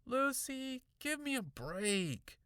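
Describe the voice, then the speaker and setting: A man's voice: very high voice, big pitch swings, slow pace, pushed and bright, smooth, no room sound, studio quality, voice pushed up, heavily acted. A man voicing a dismissive, teasing young boy who sounds unimpressed, in a children's horror audiobook. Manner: muttering, unimpressed, mocking.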